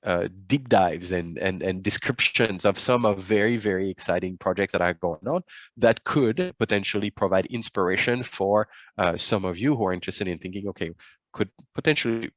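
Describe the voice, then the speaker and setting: A man with a very low voice walks through slides in a webinar, pausing often with fillers and restarting phrases. The audio is dull and lo-fi, like a video call, with a dry, close sound and no room echo. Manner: halting, many fillers and restarts. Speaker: webinar presenter.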